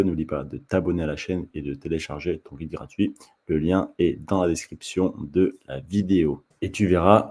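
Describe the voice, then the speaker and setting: A man with a deep, husky voice talks casually to the camera as a channel host. His tone is dark and mellow, and he often stops and restarts his sentences as he addresses the viewer directly. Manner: halting, many restarts, talks straight to the viewer. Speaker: table tennis coach and channel host.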